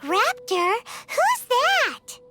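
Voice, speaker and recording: A woman's voice: very high-pitched, huge pitch swings, drawn-out words, music in the background, heavily acted. A woman voicing a small, cheeky floating fairy companion in a game, with sassy, teasing quips. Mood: startled.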